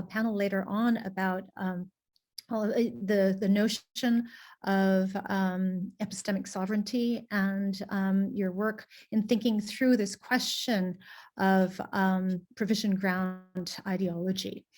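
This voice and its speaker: A woman with a medium-pitched voice chairs an academic conference panel. She introduces speakers formally, moving haltingly with frequent pauses between phrases.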